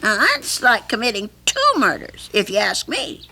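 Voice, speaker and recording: An actress playing an anxious, earnest older woman with a troubled conscience in a radio drama, speaking haltingly. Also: medium pitch, wildly swooping pitch, bright, forceful tone, exaggerated voice. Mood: troubled, confiding. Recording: faintly noisy archival radio recording.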